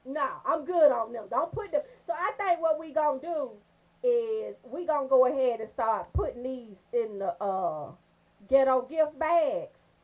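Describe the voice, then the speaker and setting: Brief: female comedy radio host, high voice with big swings, brash and emphatic, riffing through raunchy banter. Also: measured pace, low-quality recording. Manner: brash, animated storytelling with emphatic check-ins.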